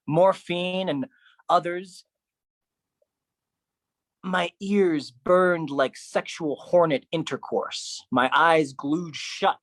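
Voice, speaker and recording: A man's voice: a little high, fairly bright tone, no echo. Man performing dramatic spoken-word monologue, dry sound.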